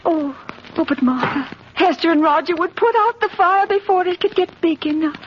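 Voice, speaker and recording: An actress playing a frail, timid old aunt in a 1950s radio drama, plaintive and hesitant. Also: female voice, very high-pitched, normal speed. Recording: scratchy, muffled 1950s radio recording.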